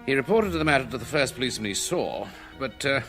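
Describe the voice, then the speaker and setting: A man's voice: mid-range voice, expressive, fast talker, over music, close to an everyday voice. An actor playing a loyal, efficient detective reporting to his chief in a radio drama, obliging and matter-of-fact. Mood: businesslike.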